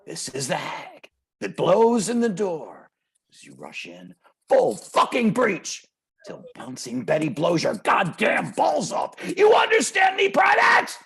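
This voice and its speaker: A man acts out a volatile, mocking street gangster spinning dark tales in a dramatic play reading. The voice is husky and gravelly, with pitch that swings widely from murmurs to shouts, and the delivery is theatrical and unhurried. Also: huge pitch swings, full character voice. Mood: raving, explosive. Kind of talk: dramatic table read of a modernized Shakespeare play. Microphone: video call.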